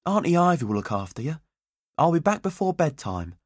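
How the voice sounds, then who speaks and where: Man voicing a gentle mother, pitched up. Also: sing-song, brisk, no echo, clean studio sound. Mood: coaxing. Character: gentle widowed young mother of a boy. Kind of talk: audiobook character voice.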